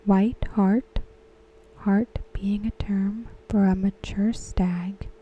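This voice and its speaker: A woman whispering softly as an ASMR host, talking through a TV episode. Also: medium pitch, slight background noise, low-quality recording. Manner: whispered, unvoiced and close. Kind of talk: soft-spoken ASMR commentary.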